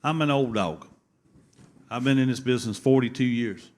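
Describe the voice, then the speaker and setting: A man's voice: mid-range voice, normal speed, darkish tone. A man in his fifties in the audience, speaking in a folksy, appreciative way. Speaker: audience member.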